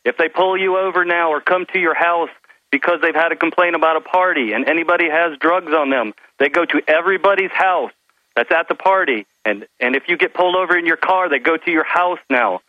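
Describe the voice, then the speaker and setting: Indignant male caller on a dull, dry phone line, slightly high voice. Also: brisk, no echo. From North Carolina. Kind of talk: calling in to talk radio.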